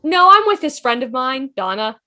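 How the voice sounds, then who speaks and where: A woman's voice: sits high, big pitch swings, moderate pace, pushed and bright, heavily acted. A woman playing a breezy, curious space traveller with an upbeat, chatty manner in a sci-fi table read.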